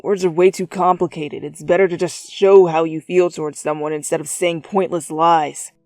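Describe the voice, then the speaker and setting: A gruff teenage anime boy, played by a woman, speaks low and blunt, with a short temper. He sounds harsh and impatient, recorded close and dry with music underneath. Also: steady tone, moderate pace, a little mellow, no room sound, close to an everyday voice. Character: gruff, hot-tempered teenage boy, brusque with hidden softness. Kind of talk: dramatic fan fiction reading.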